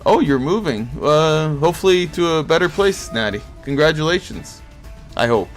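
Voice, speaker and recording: A man's voice: a little high, animated, moderate pace, soft background music. Easygoing Portuguese-accented male streamer. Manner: easygoing, chatty, reacts to chat. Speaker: streamer hosting a card game tournament. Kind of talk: casual live-stream chat reading viewer comments.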